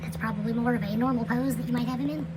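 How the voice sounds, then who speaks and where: A woman giving casual unboxing commentary as a toy reviewer, relaxed and chatty, with plenty of fillers and trailing phrases, recorded indoors at night. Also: a little high, rapid-fire. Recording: home recording with steady background noise.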